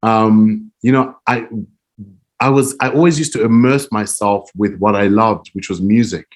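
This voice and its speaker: A man speaks in a fairly low voice. He answers interview questions earnestly and thoughtfully, and he often breaks into soft laughter. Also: unhurried. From Zimbabwe. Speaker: interview guest, music publishing director.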